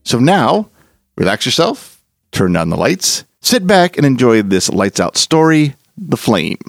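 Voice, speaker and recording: A man's voice: medium pitch, sing-song, measured pace, vocal fry, no echo, studio quality. A man hosting a podcast, reading a scripted introduction. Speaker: podcast host. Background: music.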